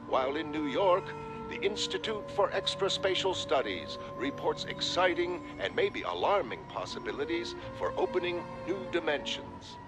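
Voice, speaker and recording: An earnest television newsman with a fairly high male voice. His bulletin delivery is formal and authoritative, each item measured and scripted. Music plays clearly behind him. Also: big pitch swings. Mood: formal, serious. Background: loud music.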